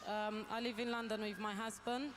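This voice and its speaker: A woman's voice: fairly high pitch, little pitch movement, normal speed. A woman from Romania, answering modestly with hesitations. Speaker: contender.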